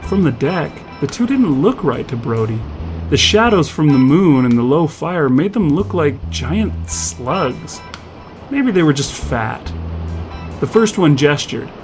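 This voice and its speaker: A man's voice: fairly high pitch, very animated, unhurried, soft background music, poor audio. A man narrating scripted fiction as a storyteller.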